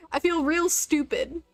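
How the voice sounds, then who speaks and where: A woman's voice: sits high, vocal fry, no room sound. A woman chatting casually on a gaming livestream, rambling along with the odd swear word.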